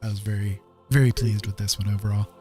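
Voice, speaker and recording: A man's voice: on the low side, level tone, a little mellow. Rambling man chatting over background music. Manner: halting, rambling, easygoing. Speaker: streaming digital artist and host. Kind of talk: casual livestream chat while painting. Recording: music playing under the voice.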